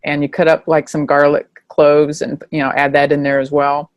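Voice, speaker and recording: A woman hosting a podcast interview, talking in a relaxed, rambling way with lots of filler words. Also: sits low, moderate pace, dry recording, dull audio. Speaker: podcast host. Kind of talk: casual interview chat.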